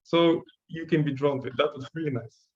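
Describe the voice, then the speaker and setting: A man with a Bulgarian accent, whose first language is Bulgarian, teaching a workshop and giving encouraging, thoughtful feedback on student designs while often pausing to search for words. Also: a little high, steady tone, darkish tone, dull audio, a little hiss or hum. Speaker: workshop instructor.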